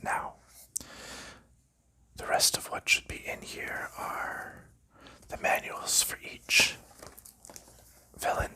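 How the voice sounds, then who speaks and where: A man softly narrating an ASMR unboxing in a breathy whisper, explaining things gently and without hurry, close to the microphone. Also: takes it slowly, long pauses. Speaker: ASMR host.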